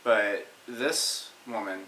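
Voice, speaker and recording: A young man in his twenties speaks from a little behind the webcam, so his voice comes through roomy and open. He talks in a relaxed, dry way and often restarts his sentences. A faint hiss runs under it. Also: fairly low pitch, drawn-out words. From Texas. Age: 20s. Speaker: vlogger's husband. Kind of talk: casual chat. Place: home room indoors, behind the webcam. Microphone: webcam, sitting back. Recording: roomy sound with faint noise.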